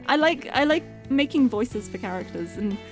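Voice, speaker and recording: A young woman streamer chatting casually and cheerfully, her voice getting a little hoarse and tired. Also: fairly high pitch, animated, unhurried. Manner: bubbly, chatty, restarts words often. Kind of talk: casual live-stream chat. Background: background game music.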